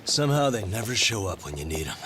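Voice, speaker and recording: An actor playing a gritty private detective in a video game, calm, driven and dryly matter-of-fact. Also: male voice, fairly low pitch, fast talker, a little hiss or hum. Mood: wry, pressed.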